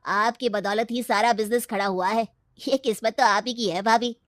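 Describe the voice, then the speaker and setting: A woman voicing a kindly, grateful male family friend, polite and flattering, in an animated story. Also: fairly high pitch, moderate pace, no echo, studio quality, close to an everyday voice.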